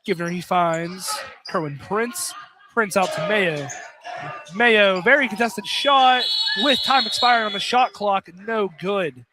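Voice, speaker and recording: A man's voice: sits high, measured pace. A man doing live play-by-play commentary of a basketball game from inside a field house. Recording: noisy gym with ball bounces.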